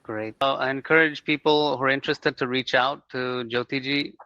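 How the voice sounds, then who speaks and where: A man hosting a podcast, asking questions and reading formal guest introductions in a measured way. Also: mid-range voice, steady tone, sharp tone, dry recording. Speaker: podcast host. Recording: dry, dull lo-fi mic.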